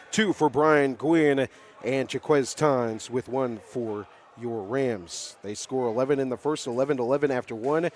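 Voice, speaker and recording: A man with a fairly high voice and an American accent hosts live sports radio. He speaks as an announcer handling post-game questions and score recaps, with clear background noise from the venue around him. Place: high school basketball gym.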